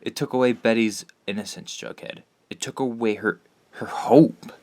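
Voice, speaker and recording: An actor playing a troubled, earnest teenage boy in an audio drama, speaking haltingly. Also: medium pitch, darkish tone, no room sound, close to an everyday voice. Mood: somber, regretful.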